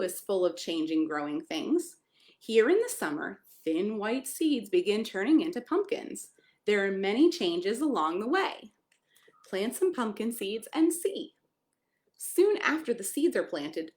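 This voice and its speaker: American woman with lively, animated storytime delivery. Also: fairly high pitch, a little slow. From United States (Maryland). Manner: simple child-directed phrasing, asking listeners questions. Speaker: pastor reading a children's story. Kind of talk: children's storytime read-aloud with chatty asides.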